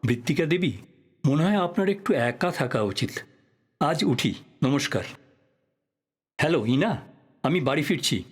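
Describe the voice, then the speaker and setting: A man playing a thoughtful, polite writer probing a death in an audio drama, speaking in a measured, reflective way. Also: fairly high pitch, expressive, husky. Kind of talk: Bengali audio drama acting.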